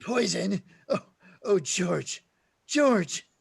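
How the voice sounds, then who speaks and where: A man playing an angry, grief-stricken drunken druggist with a gravelly voice in an old-time radio drama. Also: sits high, lively intonation, very slow, no echo, clean studio sound, full character voice. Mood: shocked, anguished. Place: remote video call recording.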